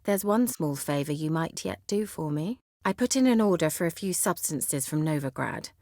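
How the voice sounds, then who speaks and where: An actress playing a self-assured, coy and haughty young sorceress in a video game, with articulate, teasing, theatrical delivery. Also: female voice, deep voice, animated, normal speed, darkish tone, no room sound, clean studio sound. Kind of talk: video game character acting.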